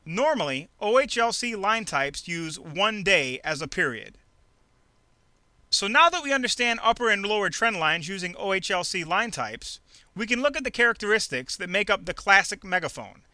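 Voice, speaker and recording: A man reading a scripted instructional narration in a clearly enunciated, measured, teacherly way. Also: high-pitched, very animated, bright, forceful tone, no room sound. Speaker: narrator of an instructional trading video. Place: close dry voice-over recording.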